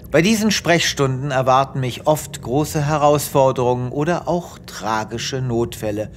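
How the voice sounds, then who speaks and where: An actor playing a self-important space veterinarian with a pompous manner in a comedic audio drama. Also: male voice, mid-range voice, a little hiss or hum, close to an everyday voice. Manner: pompous, reassuring bedside chatter.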